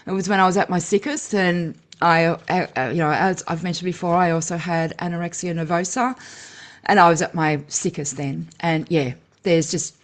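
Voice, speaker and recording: A woman co-hosting a podcast in casual conversation, open and expressive as she shares personal stories. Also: lowish voice. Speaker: podcast co-host.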